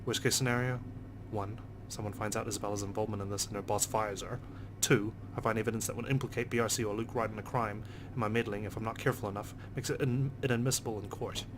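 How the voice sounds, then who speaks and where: A man's voice: on the low side, fairly even intonation, fast talker, few pauses, background noise. A man reading a visual novel aloud in the first person with a dry, sardonic delivery. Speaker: let's play narrator.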